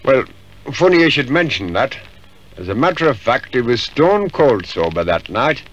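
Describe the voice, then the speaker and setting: A man's voice: medium pitch, very animated, moderate pace, a bit bright. A man playing a plain-spoken village pub landlord in a 1950s radio drama, with a folksy northern English accent. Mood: matter-of-fact. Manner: folksy, forthcoming. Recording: dull 1950s radio recording.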